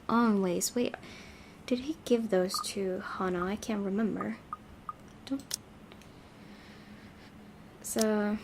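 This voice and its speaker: Creaky-voiced woman reading a game aloud. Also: mid-range voice, darkish tone, a little hiss or hum. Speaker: let's play host. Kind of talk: reading a visual novel aloud with casual commentary. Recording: game music behind the voice.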